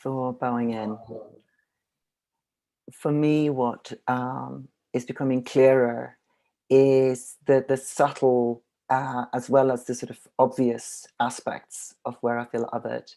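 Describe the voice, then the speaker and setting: A panelist from Iceland speaking slowly and thoughtfully on a video call, pausing often. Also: medium pitch, level tone, gravelly, warm tone. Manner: thoughtful, many pauses. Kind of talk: reflective discussion.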